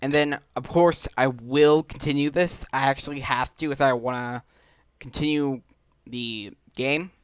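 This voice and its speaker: A man giving casual gameplay commentary, thinking aloud in an offhand, halting way. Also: medium pitch, fairly even intonation, clean tone, no echo, clean studio sound. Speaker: gaming video host.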